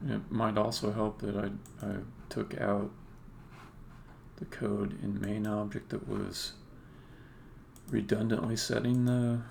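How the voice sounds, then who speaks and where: A man's voice: fairly low pitch, flat delivery, slow pace, vocal fry, long pauses, a little mellow, a little hiss or hum. A man thinking aloud in casual live-coding commentary, muttering to himself as he works as a game developer on a livestream. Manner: muttering to himself while working.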